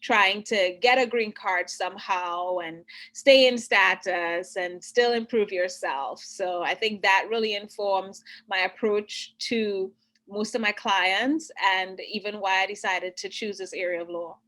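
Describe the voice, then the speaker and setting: Bright-voiced woman, immigration attorney. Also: medium pitch. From Trinidad. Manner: clear, explanatory, often pauses to check understanding. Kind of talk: explanatory interview answers.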